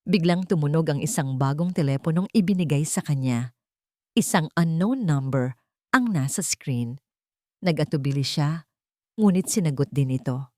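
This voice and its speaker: Low-pitched woman narrating a dramatic story. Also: expressive, moderate pace, darkish tone, no echo, clean studio sound.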